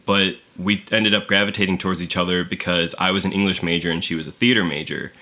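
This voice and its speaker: A man's voice: low-pitched, fairly even intonation, fast talker, pushed and bright. A man in his twenties with an American accent, chatting casually as a podcast guest. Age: 20s. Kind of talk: casual chat.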